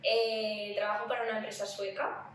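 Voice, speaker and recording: A woman's voice: mid-range voice, level tone, moderate pace. A woman with a Spanish accent from Spain, chatting casually and playfully as a podcast co-host. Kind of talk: casual chat. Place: room at home. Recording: very echoey room, distant mic, faint noise.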